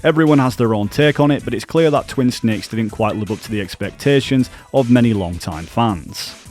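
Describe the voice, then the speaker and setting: A man narrating a scripted video essay about games as a YouTube commentator, explaining in an earnest, measured way. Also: medium pitch, a little mellow, faint music behind.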